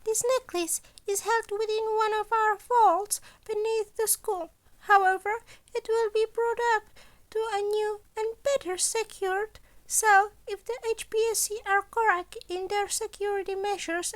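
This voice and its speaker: A woman's voice: extremely high pitch, pitched above the performer's own voice. A woman voicing a polite, scheming animal school principal in a story, speaking formally and precisely as if lecturing. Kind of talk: scripted story character voice.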